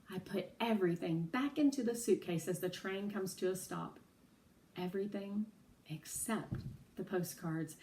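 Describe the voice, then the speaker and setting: A woman narrates a children's story, sitting a little way from the microphone. Her delivery is expressive and dramatic, in the style of a read-aloud. Her pitch sits in a middle range. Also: far from the mic. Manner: animated, dramatic storytelling with acted dialogue.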